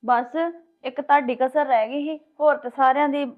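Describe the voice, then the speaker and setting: A woman playing a hurt young wife, speaking quietly and reproachfully. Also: high voice, steady tone, normal speed, lightly acted. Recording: tinny phone-like sound, distant.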